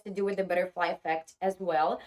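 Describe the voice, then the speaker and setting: Woman speaking halting English. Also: lowish voice, fairly even intonation, darkish tone. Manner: halting, searching for English words. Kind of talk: casual vlog talk.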